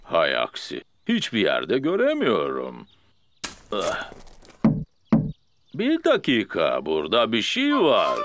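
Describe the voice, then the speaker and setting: A man's voice: lowish voice, huge pitch swings, takes it slowly, long pauses, noisy background, full character voice. A man voicing a big, good-natured, bumbling father pig in a cartoon, huffing and exclaiming. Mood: effortful, puzzled. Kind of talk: cartoon dialogue. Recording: music behind the voice.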